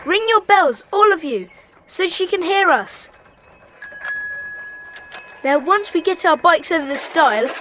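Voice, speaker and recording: High-pitched, bossy schoolboy sleuth. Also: female voice, expressive, a little slow, long pauses, background noise. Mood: urgent. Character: schoolboy leader of child detectives, bossy, decisive. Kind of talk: children's audio drama acting.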